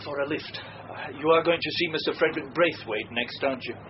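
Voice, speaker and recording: A man performs a smooth, evasive British solicitor for a radio mystery. He is formal and pompous, choosing legal phrases. The sound is muffled with clear noise. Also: on the high side, quick pace, lightly acted. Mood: politely wheedling. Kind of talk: radio drama acting. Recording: dull, noisy radio recording.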